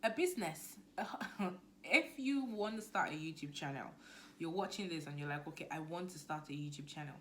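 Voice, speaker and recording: Nigerian woman, chatty low voice. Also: normal speed, a little hiss or hum. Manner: chatty, animated, drops to near-whisper asides. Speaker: business coach vlogger. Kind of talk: casual talk to camera.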